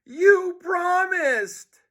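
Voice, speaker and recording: Man acting an excitable, indignant schoolboy, high and sharp. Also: drawn-out words, full character voice. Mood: horrified, pleading.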